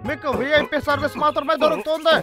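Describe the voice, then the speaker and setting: An actor voicing a scheming, fawning logger villain with a short temper, in an exaggerated cartoon dub with excitable salesman patter. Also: extremely high pitch, fast talker. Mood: wheedling. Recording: music behind the voice.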